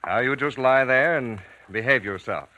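An actor playing a middle-aged plant doctor in an old radio drama, fatherly, bossy and gently scolding. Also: mid-range voice, normal speed, lightly acted. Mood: soothing, firm. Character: brisk, fatherly male plant doctor, gruffly reassuring. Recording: noisy vintage radio recording.

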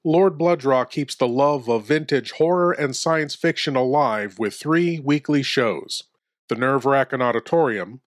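A man performing as a suave, sinister lord who hosts horror shows, with theatrical, intimate, deliberate narration. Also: medium pitch, natural voice. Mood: grandly promotional. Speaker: show host. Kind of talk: scripted horror-host narration. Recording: long hall reverb.

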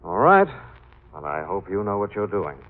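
An actor playing an urbane, shrewd amateur detective, insistent and self-assured, in a 1940s radio drama. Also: male voice, lowish voice, huge pitch swings, moderate pace, over loud music. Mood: grave. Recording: muffled vintage radio recording.

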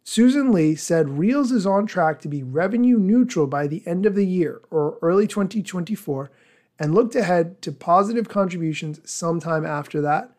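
A man hosting a news podcast, reading a scripted report and quotes in a measured, explanatory way. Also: high-pitched, animated, a little mellow. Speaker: podcast host. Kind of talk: scripted news narration with commentary.

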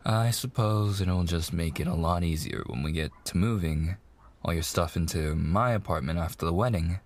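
An actor playing a mafia boss's young son, an eager, sweet fiancé coaxing in slangy gangster talk in an intimate roleplay. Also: male voice, sits low, moderate pace. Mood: casual, friendly. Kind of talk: boyfriend ASMR roleplay, intimate monologue.

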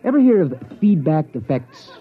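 A man's voice: on the high side, big pitch swings, normal speed, slightly rough, some chatter behind. A man playing an earnest, scholarly sociology professor in mid-century radio drama, explaining things with small hesitations. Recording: dull vintage radio recording.

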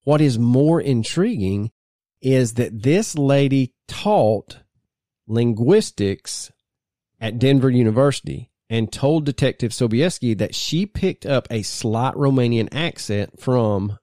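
A man co-hosting a podcast, telling the details of a true crime case in a measured storytelling way. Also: medium pitch, dark tone, no room sound, studio quality. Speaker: podcast co-host.

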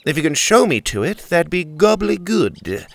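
Guy voicing a whiny stuck-up turkey, drawls and sneers, pitch swinging all over, dry sound. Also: very animated, vocal fry, no echo, full character voice. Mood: complaining. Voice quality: haughty, sneering, drawn-out delivery. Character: snooty grumpy male turkey, complaining, pompous.

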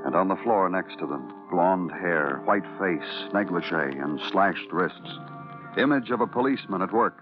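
Low-voiced, brooding noir detective, old radio. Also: male voice, expressive, over music. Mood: brooding, somber. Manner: brooding, clipped, poetic, deliberate phrasing. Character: hardboiled, world-weary male police detective narrating his beat. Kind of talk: 1940s-50s radio noir narration. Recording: narrow, muffled old radio recording.

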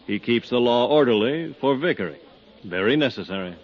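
A cynical, defeated male architect from 1950s radio drama. His voice is of middling pitch, with short, bitter phrases and a wry, resigned edge. The recording sounds dull and dated. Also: animated, brisk, breathy edge.

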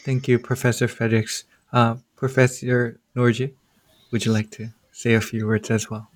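A man hosting a podcast interview, giving formal, measured introductions and asking polite questions. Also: medium pitch, flat delivery, dry recording. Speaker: podcast host.

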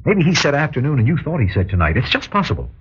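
An actor playing an earnest, insistent private detective in an old-time radio drama, speaking in a husky, urgent, persuasive way with formal address. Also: male voice, mid-range voice, huge pitch swings, fast talker, fairly bright tone, exaggerated voice. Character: earnest, insistent male private detective warning his client. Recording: dull, lo-fi vintage radio recording.

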